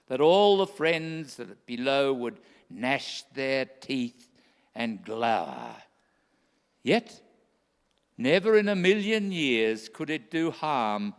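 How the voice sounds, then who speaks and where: A kindly, earnest old-time Christmas gift-giver , played by a man declaims radio play verse with emphasis. The delivery is slow and swings widely in pitch. It resounds in an echoey hall. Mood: indignant, fervent. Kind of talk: theatrical rhymed radio play oratory. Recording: echoey hall with long reverb.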